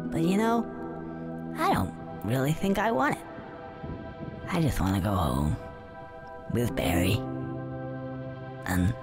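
An actor voicing a cheeky young male squirrel in a video game, talking in a dry, sardonic way. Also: medium pitch. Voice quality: wry, sardonic. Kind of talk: video game cutscene acting. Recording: game audio with loud score behind.

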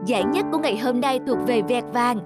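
Actor as bird announcer, pompous, ceremonial, cartoon style, loud music behind. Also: mid-range voice, fast talker, lightly acted. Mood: haughty, proclaiming. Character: pompous bird contest master, announcing grandly. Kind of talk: cartoon dubbing.

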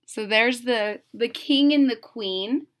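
A middle-aged woman reading a children's picture book aloud with deliberate, theatrical phrasing. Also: fairly high pitch. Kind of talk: expressive children's read-aloud. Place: small room at home.

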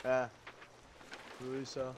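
A man's voice: mid-range voice, completely flat delivery, measured pace. A man chatting casually as he plays a video game alongside a partner. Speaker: co-op gaming partner. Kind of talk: casual gaming chat. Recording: noisy game audio with boat ambience. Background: game sounds of boat and water.

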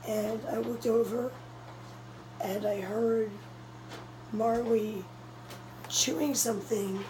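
A young woman with a hoarse voice, telling a story in a casual vlog, urgent and breathless, filmed close up on a phone in a small room indoors. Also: fairly high pitch, steady tone, takes it slowly, warm tone, slight background noise, rough recording. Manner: breathless, urgent retelling of a scare. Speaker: cat vlogger. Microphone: phone camera held close, low angle.

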